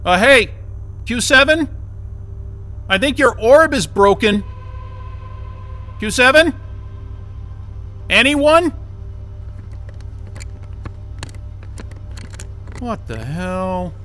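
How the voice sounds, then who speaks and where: A man's voice: extremely high pitch, very animated, takes it slowly, long pauses, sharp tone, faint music behind, full character voice. A man acting in an audio drama as a confused, impatient interview candidate who rambles and hesitates in a casual way. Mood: uneasy, puzzled.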